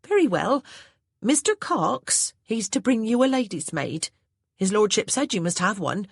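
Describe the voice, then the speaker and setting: A woman voicing an elderly, humble household servant who talks briskly in a country dialect. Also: medium pitch, very animated, gravelly, slightly rough, no echo, studio quality, pitched above the performer's own voice, full character voice. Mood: flustered. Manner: brisk, says "aye" and "mum" in a country dialect.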